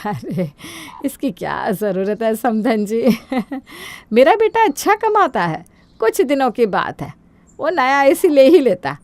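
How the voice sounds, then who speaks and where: A woman's voice: fairly high pitch, huge pitch swings, slow pace, dry recording, exaggerated voice. A woman voicing a greedy, sly middle-aged mother-in-law who feigns modesty in a sugary, wheedling way for a Hindi cartoon story. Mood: gushing, coy.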